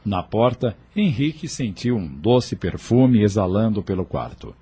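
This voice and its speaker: A man's voice: on the low side, sing-song, measured pace, warm tone, slightly rough. A man narrating an audio novel in a scripted, dramatic storytelling style, with faint ambient noise.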